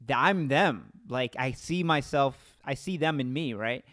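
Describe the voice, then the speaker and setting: A man's voice: medium pitch, a little slow, a bit bright, no room sound, clean studio sound. An American man in his thirties interviewing someone casually, informal and emphatic, often seeking agreement with "right?" and "you know". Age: 30s. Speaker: interviewer, host.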